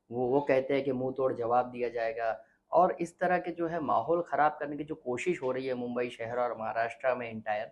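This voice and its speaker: A man asking questions as a reporter in a political news interview. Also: mid-range voice.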